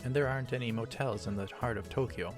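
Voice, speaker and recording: A man voicing a sharp, irritated young woman while reading a visual novel. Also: mid-range voice, quick pace, over music, natural voice. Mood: indignant.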